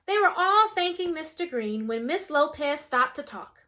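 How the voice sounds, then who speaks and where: Bright, high-voiced woman reading aloud. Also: moderate pace. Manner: expressive, shifts voice for quoted characters. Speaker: storyteller reading a picture book. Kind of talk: children's read-aloud.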